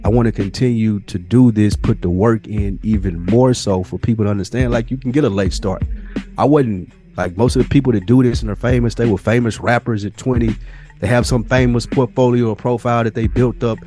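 American male podcast co-host, medium pitch, earnest, motivational, slangy casual speech, faint music in the background. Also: a little fast, dark tone.

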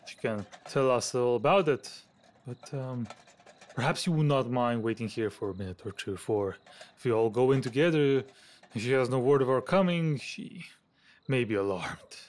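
A man playing a fussy, anxious Victorian gentleman in an audio drama, hesitant and stammering as he talks. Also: medium pitch, normal speed, natural voice. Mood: anxious, puzzled.

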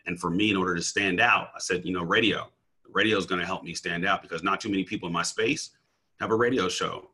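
Deep-voiced man, husky and forceful. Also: quick pace. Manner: energetic, emphatic, stammers and restarts; slangy, says "bro". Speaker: coach and speaker, guest. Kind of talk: casual interview talk.

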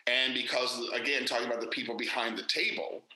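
A man's voice: medium pitch, steady tone, fast talker, pushed and bright, roomy sound, slight background noise, poor audio. A man, an actor and director, speaking candidly and emphatically in a casual interview, with many fillers and restarts.

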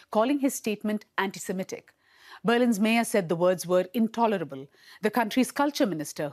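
Measured woman news reporter. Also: medium pitch. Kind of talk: scripted narration.

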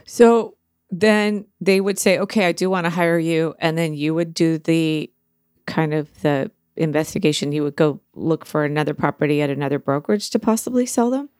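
A woman's voice: deep voice, moderate pace, darkish tone, clear, resonant tone, dry recording, studio quality. A woman hosting a podcast, introducing her guest and asking curious follow-up questions. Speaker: podcast host. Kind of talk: casual interview.

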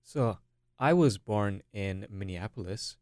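Deep, smooth young male student. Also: expressive, slow, darkish tone, no room sound, clean studio sound. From Bangalore, India. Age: late teens. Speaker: podcast guest, college student. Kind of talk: casual interview talk.

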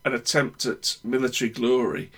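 Husky-voiced man telling rambling stories. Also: mid-range voice, fairly even intonation, normal speed, a bit bright. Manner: meandering, self-correcting storyteller. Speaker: podcast guest. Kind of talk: casual chat, rambling anecdotes.

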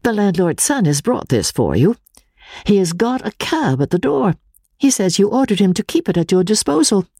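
A man voicing an attentive, caring Victorian gentlewoman in a polite, lively manner for a storybook reading. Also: high voice, normal speed, gravelly, no echo, clean studio sound, voice pushed up, full character voice. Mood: informative.

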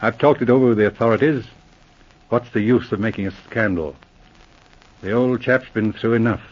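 An actor plays a brisk police inspector in a 1940s radio drama who gives orders in a crisp, matter-of-fact way. His mid-pitched voice is slightly husky. The recording has the dull, lo-fi sound of old radio. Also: close to an everyday voice. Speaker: lead investigator.

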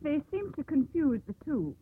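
A haughty young ship captain's daughter in an old radio play, high and swooping in pitch. She declaims her grievances with emphatic indignation. The sound is a tinny vintage broadcast. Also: very animated, moderate pace. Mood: haughty, exasperated. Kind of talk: 1950s radio drama acting. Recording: tinny, band-limited 1950s radio broadcast.

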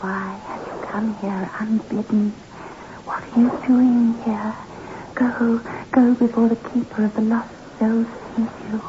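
An actress playing a mysterious, mournful ghost woman, speaking in a hushed, cryptic whisper in an old radio horror drama. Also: on the high side, level tone, unhurried, natural voice. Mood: hushed warning. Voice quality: breathy whisper. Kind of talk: 1960s radio horror acting. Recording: noisy, muffled old radio recording.

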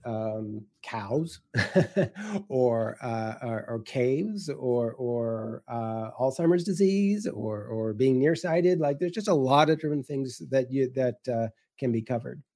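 A man with a soft-edged, mellow voice talks through his thoughts in an unscripted panel discussion. He is halting and hesitant, often restarting his sentences. The sound is very dry, with no room on it. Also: medium pitch, lively intonation, no echo. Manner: halting, hesitant, many broken-off starts. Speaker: guest editor of a science writing anthology.

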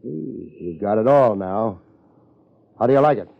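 An actor playing a cool, wry private detective in a 1950s American radio drama, with a laid-back, clipped way of talking and an American accent. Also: male voice, on the low side, lively intonation, unhurried, gravelly, exaggerated voice. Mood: cool, bargaining. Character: cool, hard-boiled male private detective. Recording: muffled old radio broadcast.

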